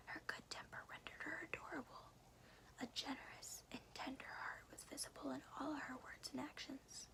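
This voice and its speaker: A woman softly and carefully whispering in a hushed, breathy ASMR style, as a storyteller reading to the listener. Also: high voice, low-quality recording. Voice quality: breathy whisper with some creak. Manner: soft, careful, hushed delivery.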